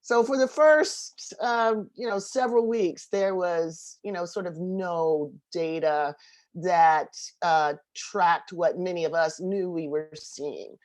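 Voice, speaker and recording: Woman, panel host, slightly low pitch, slow halting delivery, reflective, deliberate. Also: a little mellow, dry recording. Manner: halting, deliberate, searching for words. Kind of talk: reflective interview question.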